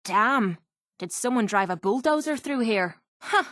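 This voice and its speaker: A woman's voice: a little high, expressive, measured pace, fairly bright tone, dry recording, studio quality. Tough, sarcastic Irish fighter woman. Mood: wry, guarded. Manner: blunt, salty, wry. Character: tough, sarcastic young female pit fighter, wasteland companion. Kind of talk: video game character voice lines.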